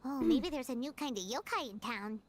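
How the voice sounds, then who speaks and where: A woman voicing a small, chirpy, childlike fairy companion in a fantasy video game, squeaky and curious. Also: lively intonation, brisk, background noise. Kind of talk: animated video game dialogue.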